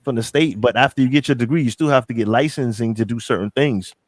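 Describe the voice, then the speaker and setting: A man's voice: mid-range voice, fast talker, dry recording. A middle-aged man from New York is talking on a podcast, loose and emphatic, circling back over his points and swearing as he goes. His voice is in the middle of the usual range for a man. The sound is close and dry, with almost no room around it. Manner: animated, emphatic, repeats himself, swears freely. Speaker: podcast co-host. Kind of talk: casual podcast chat.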